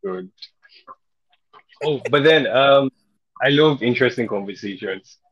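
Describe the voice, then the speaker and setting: A man's voice: mid-range voice, animated, unhurried, a bit bright, breathy edge. A young man from Nigeria, a podcast guest with a stuffy head cold, joking and self-mocking. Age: young adult. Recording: dull lo-fi audio.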